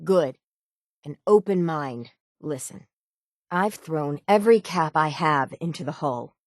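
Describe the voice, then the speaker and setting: An actress playing a weathered, determined harbor seafarer in a video game, gruff and dutiful, with salty, old-fashioned folksy phrasing. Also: female voice, low voice, lively intonation, measured pace, a little mellow, dry recording, clean studio sound. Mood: businesslike. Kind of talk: video game character dialogue.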